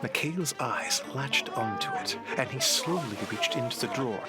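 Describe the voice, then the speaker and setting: A man's voice: mid-range voice, animated, normal speed, over loud music. A man narrating a scripted adventure story as its storyteller.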